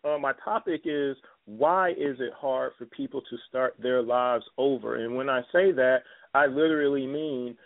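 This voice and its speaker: A man's voice: mid-range voice, moderate pace, creaky. Radio host man on a tinny line. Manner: loose, filler-heavy, conversational. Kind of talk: casual talk radio hosting.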